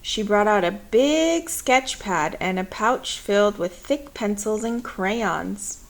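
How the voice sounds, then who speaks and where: Woman reading a kids book out loud, nice and slow, expressive, medium pitch. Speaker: picture book reader. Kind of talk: children's story read aloud.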